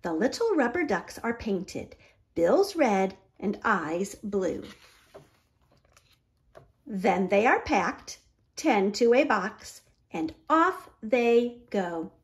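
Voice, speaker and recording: A middle-aged woman reading a picture book aloud to young children, deliberate and expressive. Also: mid-range voice. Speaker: children's library storyteller.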